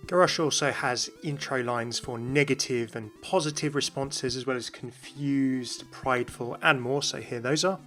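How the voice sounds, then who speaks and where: A man's voice: mid-range voice, moderate pace, few pauses, fairly bright tone, soft background music. A man giving scripted explainer narration as the host of a video game lore video.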